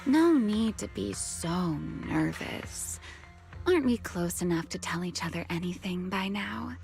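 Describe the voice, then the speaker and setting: Coy, secretive huntress, music behind. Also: female voice, lowish voice, animated, darkish tone. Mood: teasing. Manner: coy, playful, unhurried teasing. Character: teasing, secretive, long-lived woman hunter with sly poise. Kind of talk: video game story voice acting.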